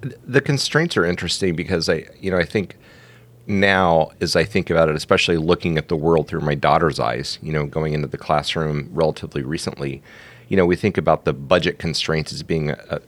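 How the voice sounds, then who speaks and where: A middle-aged man hosting an interview, asking questions in a relaxed, reflective way. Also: rumbling low. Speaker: podcast host. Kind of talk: conversational interview.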